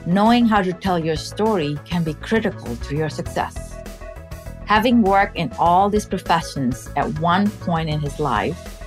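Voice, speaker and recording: A woman hosting an interview podcast, moving between scripted introduction and conversational questions. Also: on the low side. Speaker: podcast host.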